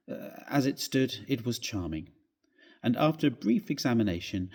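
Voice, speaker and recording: A man with a husky voice reading a story aloud in a measured, expressive, theatrical way. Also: mid-range voice. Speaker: reader of a classic ghost story.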